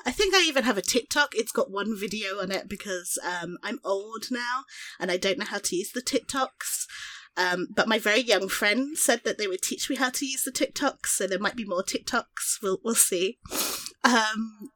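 A woman's voice: mid-range voice, normal speed, sharp tone, dry recording. A woman in her thirties, a guest on an interview, who talks about herself in a chatty, self-deprecating way. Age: 30s. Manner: self-deprecating, rambling with restarts. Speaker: interview guest, artist and streamer. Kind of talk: casual interview chat.

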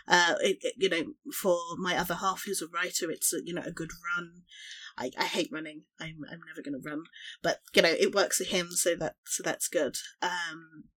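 A woman's voice: lowish voice, fairly bright tone, breathy edge, dry recording. Self-deprecating woman in her thirties. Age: 30s. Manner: self-deprecating, rambling with restarts. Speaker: interview guest, artist and streamer. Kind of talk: casual interview chat.

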